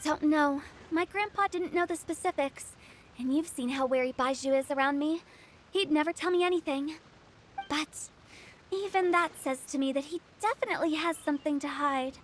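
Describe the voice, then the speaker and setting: Woman as high-pitched, playful young funeral director. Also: normal speed, a little hiss or hum, lightly acted. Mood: wary, speculative. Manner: playful, theatrical, teasing storyteller. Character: playful, quirky young female funeral director, sly and theatrical. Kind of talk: video game voice acting. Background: music.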